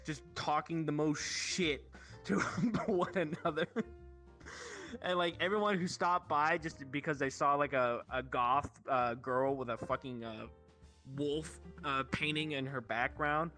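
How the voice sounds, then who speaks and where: A young man casually telling rambling stories to friends in a slangy, sweary, animated way. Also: a little high, a little slow, soft background music. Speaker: show host telling stories.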